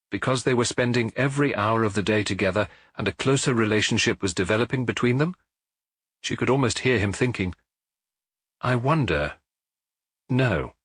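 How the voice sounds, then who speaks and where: A man's voice: on the low side, fairly bright tone, breathy edge, no room sound. A man reading a detective story as an audiobook narrator.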